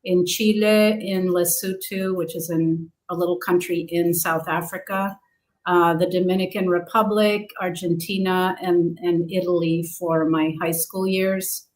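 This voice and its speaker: Woman, lowish voice, very slow, halting with fillers. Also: level tone, rough recording. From grew up abroad in several countries. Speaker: guest. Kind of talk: interview answers.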